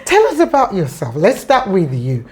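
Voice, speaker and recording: A woman's voice: medium pitch, huge pitch swings, moderate pace, darkish tone. Effusive woman talk show host. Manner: effusive, showers the guest with compliments. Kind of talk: warm interview chat. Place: in the guest's design studio among clothes.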